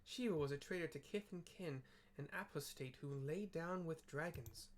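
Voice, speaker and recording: A stern, scornful temple knight sneering at an outsider, as a man reads him aloud. The delivery is slow and pointed, pitched a little above the reader's own. It is fantasy game dialogue read in a plain home reading. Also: close to an everyday voice.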